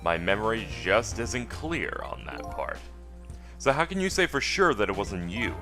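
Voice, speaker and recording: A man's voice: on the low side, big pitch swings, normal speed, bright, forceful tone, faint music behind, pitched above the performer's own voice, full character voice. A man voicing a sardonic, probing amnesiac dead man in a detective game. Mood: accusing. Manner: sardonic, questioning, pressing. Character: wry amnesiac dead man, a needling amateur investigator.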